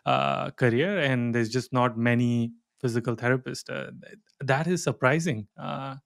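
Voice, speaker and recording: A man's voice: mid-range voice, steady tone, normal speed, vocal fry. A man from India hosting an interview, reading a sponsor intro and then chatting casually with lots of fillers and restarts. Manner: casual, frequent fillers and restarts, laughs often. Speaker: host.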